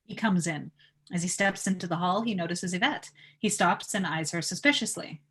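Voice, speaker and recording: A woman's voice: on the low side, moderate pace, fairly bright tone, slightly rough. A woman reading stage directions aloud as the narrator of a live table read.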